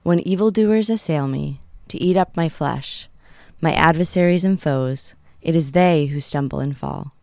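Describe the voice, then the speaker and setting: A guest reader giving a solemn, careful and deliberate reading of a Bible psalm. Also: mid-range voice, creaky, a little mellow, dry recording.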